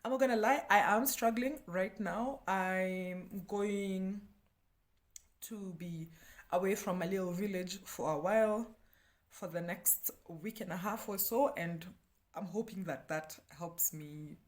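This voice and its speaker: A woman's voice: medium pitch, measured pace. A Kenyan woman, a native Kikuyu speaker, reviewing books in a casual, slangy, self-deprecating chat. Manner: chatty, slangy, self-deprecating, breaking often into laughter. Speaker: book review vlogger.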